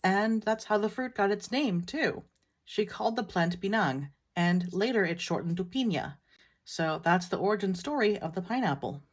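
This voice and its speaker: A Filipino American woman who tells a folktale in a relaxed, matter-of-fact way. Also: medium pitch, normal speed. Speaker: storyteller. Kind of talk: casual storytelling.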